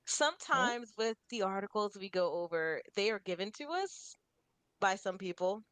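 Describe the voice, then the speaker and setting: A woman's voice: mid-range voice, lively intonation, normal speed, a bit bright, dry recording. A woman co-hosting a casual group chat show in a teasing, mock-serious manner. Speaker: radio show co-host.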